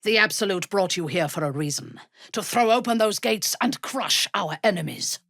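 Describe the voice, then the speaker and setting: A woman playing a ruthless, proud female dark elf warrior in a video game, speaking in a haughty, deliberate, menacing way. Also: medium pitch, vocal fry, no room sound, clean studio sound. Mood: grave, measured. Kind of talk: video game character dialogue.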